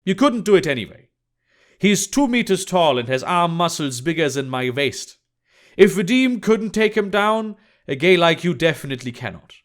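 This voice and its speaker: Man voicing a brash, scornful woman, raised pitch. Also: sing-song, moderate pace. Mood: irritated, dismissive. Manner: blunt, dismissive. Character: brash, irritated adult woman sex worker, blunt and scornful. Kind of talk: audiobook character dialogue.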